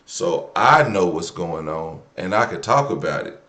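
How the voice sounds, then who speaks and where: A man's voice: sits low, animated, unhurried, pushed and bright. An American man hosting a live call-in show, talking off the cuff in casual slang and repeating himself as he thinks aloud. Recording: roomy, echoing room sound.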